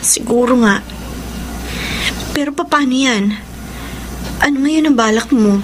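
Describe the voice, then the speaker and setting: High-voiced, anxious Filipina soap heroine. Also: female voice, slow pace, background noise. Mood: worried, pleading. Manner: dramatic, stammering when startled. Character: Debbie, anxious, defensive young mother caught cheating. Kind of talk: Filipino radio soap acting.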